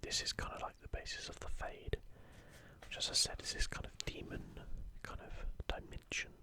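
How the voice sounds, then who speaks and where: A man speaking in a breathy, unvoiced whisper, giving soft ASMR commentary as he hosts a video. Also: moderate pace.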